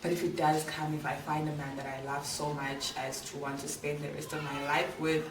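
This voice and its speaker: A woman's voice: low voice, flat delivery, normal speed. A South African woman of twenty-five answering viewer questions in a casual, candid vlog chat, full of slang and fillers. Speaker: vlogger. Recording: echoey room, voice a little far from the mic, clear background noise.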